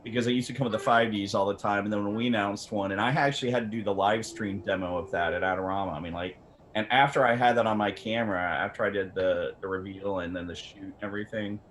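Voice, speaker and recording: A man with a fairly low voice gives casual expert advice. He speaks informally and conversationally over a video call with faint background noise. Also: steady tone, fast, fairly bright tone. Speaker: camera company representative, guest expert. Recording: slightly noisy video call.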